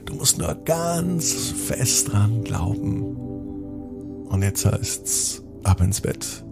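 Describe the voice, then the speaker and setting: A man telling a children's bedtime story in a slow, soothing, softly spoken way. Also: on the low side, lively intonation, vocal fry, loud music behind the voice. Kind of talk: gentle bedtime story narration.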